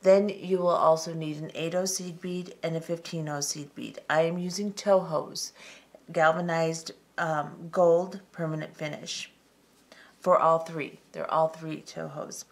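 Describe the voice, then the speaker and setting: A middle-aged woman hosting a step-by-step beading tutorial, talking calmly and methodically with frequent ums. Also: deep voice, measured pace. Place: tabletop craft workspace, indoors.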